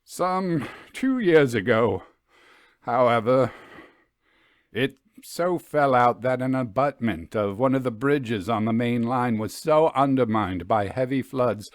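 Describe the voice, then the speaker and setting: A man narrates a vintage ghost story in a measured, formal way. His voice sits at a medium pitch, and the sound is dry with almost no room to it. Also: dry recording. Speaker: narrator reading a short story. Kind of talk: literary audiobook narration of an old ghost story.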